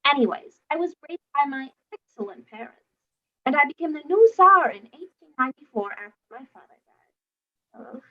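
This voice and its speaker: Pompous monarch for comic puppet skit female performer: whiny, very animated delivery, muffled cheap recording. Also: high voice, moderate pace. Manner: pompous, huffy, throat-clearing. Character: pompous, whiny, self-adoring Tsar, a grown man. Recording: dull, choppy lo-fi video call audio.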